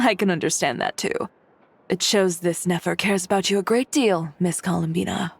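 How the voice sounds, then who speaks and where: A calm costume designer. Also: female voice, fairly low pitch, moderate pace, vocal fry, a little hiss or hum.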